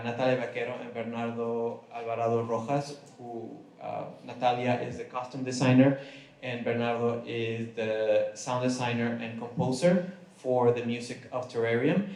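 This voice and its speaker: A man's voice: medium pitch, a little mellow. A man moderating a conference panel, speaking unhurriedly with many filler sounds. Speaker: moderator and studio founder. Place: conference room. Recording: echoey room.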